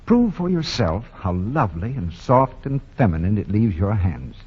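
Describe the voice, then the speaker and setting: A man's voice: on the low side, very animated, normal speed, husky, lo-fi sound. A male announcer reading a radio commercial with emphatic salesman delivery, on an old 1940 radio broadcast.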